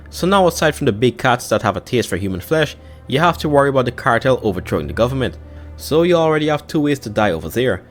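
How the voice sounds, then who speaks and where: Male narrator, brisk pace, mid pitch, scripted explainer, casual conversational phrasing, background music. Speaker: narrator of a YouTube explainer channel.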